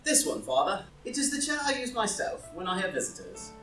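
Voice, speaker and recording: A woman's voice: mid-range voice, huge pitch swings, a little fast, a bit bright. A woman playing a foppish, idle, witty young lord in a comedy play reading, languid and arch. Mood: teasing, evasive. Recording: roomy live reading, distant mic, music.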